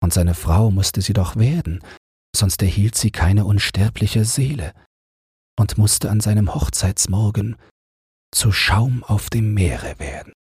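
A man reads a bedtime fairy tale in a low, heavily breathy voice. His delivery is slow, calm and soothing, as a measured audiobook narration in a dry, close sound. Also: no echo.

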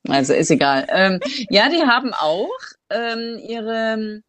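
A woman's voice: medium pitch, big pitch swings, measured pace, no echo. A German woman hosting a casual talk, thinking aloud with many fillers and restarts. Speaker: podcast host. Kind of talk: casual conversation. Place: Cambodia, living abroad.

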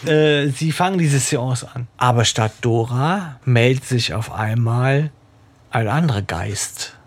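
A man's voice: mid-range voice, slow pace, husky. A man co-hosting a fan podcast who talks hesitantly in casual chat, with many fillers and frequent laughs. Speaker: podcast co-host. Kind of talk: casual chat discussing a radio play.

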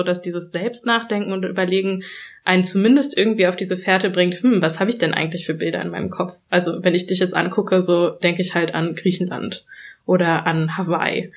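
A young woman talking reflectively in a casual conversation, pausing often with fillers and restarting her sentences. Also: lowish voice, steady tone. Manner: thoughtful, many fillers and restarts, laughs when quoting others.

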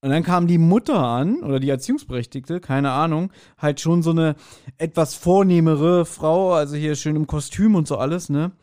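A man co-hosting a podcast in casual chat, telling things like an animated storyteller. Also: a little high, moderate pace. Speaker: podcast co-host. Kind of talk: casual chat, speculating about an audio drama episode.

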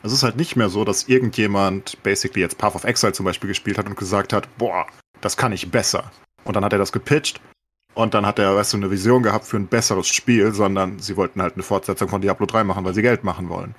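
Male podcast co-host, lowish husky voice, casual and opinionated, peppers with English gamer terms. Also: steady tone, fast talker, fairly bright tone. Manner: mixes English gaming slang into German. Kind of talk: casual opinionated gaming chat.

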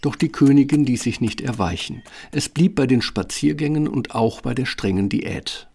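A male storyteller narrates a scripted fairy tale. His voice is husky and gravelly, sitting at a middle pitch. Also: moderate pace, mellow.

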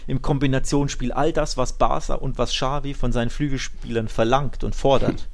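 A man's voice: mid-range voice, steady tone, normal speed, vocal fry. A man talking football in a casual, colloquial and opinionated way, with emphatic delivery. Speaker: football podcast host.

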